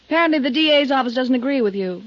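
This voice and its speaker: An actress playing a tense, defiant wife suspected of a swindle in a 1950s radio drama, cool and challenging in manner. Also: female voice, high-pitched, expressive, racing, no echo. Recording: dull 1950s radio recording.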